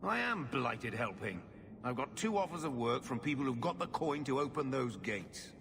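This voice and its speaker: An actor playing a shifty, evasive middle-aged uncle in a video game cutscene, hesitant and stammering. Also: male voice, mid-range voice, very animated, moderate pace, gravelly, noisy background. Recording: game score behind the voice.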